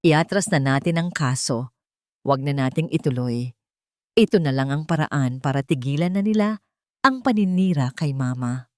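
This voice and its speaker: A woman's voice: low voice, normal speed, darkish tone, no echo, studio quality, voice pushed down, close to an everyday voice. A woman voicing a desperate, weeping young pregnant heiress in an audiobook drama, pleading in anguish. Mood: weary pleading.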